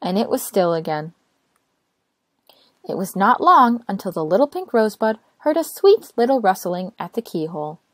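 A woman narrating a children's storybook aloud in an expressive, careful way. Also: mid-range voice, normal speed, no room sound. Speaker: storytime reader.

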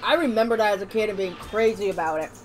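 A woman's voice: medium pitch, quick pace, slight background noise, rough recording. A woman giving wry, impatient, casual commentary while streaming a game.

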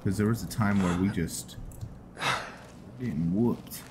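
A man giving casual gameplay commentary with some roleplay narration, muttering to himself in a halting, low-key way. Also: noisy background. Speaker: gaming video host. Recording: music behind the voice.

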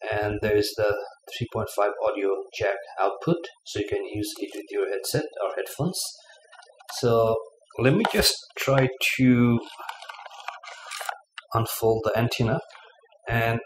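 A man giving a casual unboxing review of a gadget, talking haltingly with many fillers, at a table in his living room. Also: fairly low pitch. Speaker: product reviewer. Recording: echoey room, faint room noise.

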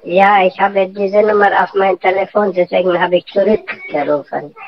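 A woman's voice: mid-range voice, fairly even intonation, moderate pace. A woman returning a missed call, hesitant and apologetic. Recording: dull lo-fi phone recording.

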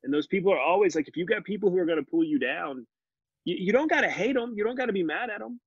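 A man's voice: high-pitched, expressive, fast, slightly rough, no room sound. A man in his forties, a podcast guest, talking earnestly and animatedly over a video call from his living room. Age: 40s. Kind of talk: casual conversational interview. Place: home living room with bookshelves. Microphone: video call, laptop mic. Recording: muffled dry video call audio.

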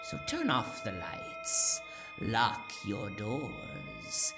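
A man's voice: fairly low pitch, wildly swooping pitch, drawn-out words, heavily acted. A man playing a gleeful, macabre horror show host, teasing and theatrical. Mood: sinister, teasing. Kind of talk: theatrical horror anthology introduction. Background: music.

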